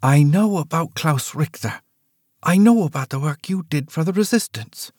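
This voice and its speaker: A man's voice: fairly high pitch, expressive, moderate pace, a little mellow, no room sound, studio quality, pitched above the performer's own voice. A man voicing a shaken adult daughter who speaks urgently and pleadingly, in a storybook reading.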